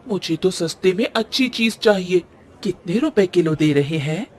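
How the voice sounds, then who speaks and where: A woman's voice: fairly low pitch, expressive, darkish tone, lightly acted. A woman playing a no-nonsense market customer in a cartoon story, arguing insistently and bargaining hard. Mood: sceptical. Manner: brisk, argumentative, insistent. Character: firm, haggling, no-nonsense female market customer. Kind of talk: Hindi cartoon story voice acting. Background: background music.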